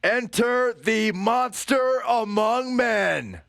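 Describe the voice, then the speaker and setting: A man's voice: very high voice, drawn-out words, creaky, a bit bright, no room sound, studio quality, full character voice. A man playing an earnest, enthusiastic colour commentator in a wrestling video game broadcast. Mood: excited. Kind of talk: sports commentary.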